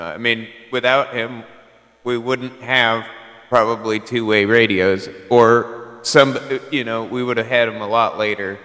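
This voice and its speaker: A man's voice: lowish voice, bright, forceful tone, big-room sound. A man chatting casually on a CB radio channel, rambling and filling his talk with "like" and "you know". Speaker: CB radio caller.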